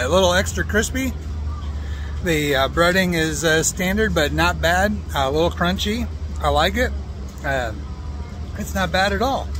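American male food reviewer, middle-aged, somewhat high voice, halting casual delivery, outdoor phone audio with light ambient noise. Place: outdoors at a fairground. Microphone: phone at arm's length.

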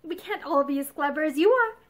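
A woman's voice: very high voice, wildly swooping pitch, moderate pace, bright, forceful tone, voice pushed up, full character voice. A woman voicing a timid, emotional young wife in a storybook, earnest and easily hurt. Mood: indignant. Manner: faltering, earnest, protesting.

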